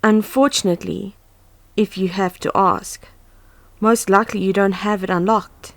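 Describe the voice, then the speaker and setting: A woman's voice: mid-range voice, fairly even intonation, normal speed, creaky, no echo, close to an everyday voice. A woman playing an earnest, anxious but composed young huntress in a fan audio drama. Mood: earnest, instructive. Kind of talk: fan audio drama, first-person narration and dialogue. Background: background music.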